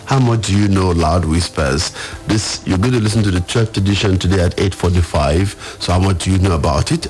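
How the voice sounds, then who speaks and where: A man's voice: sits low, darkish tone. A man with a Nigerian accent hosting a radio talk show, repeating phrases for emphasis. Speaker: radio host.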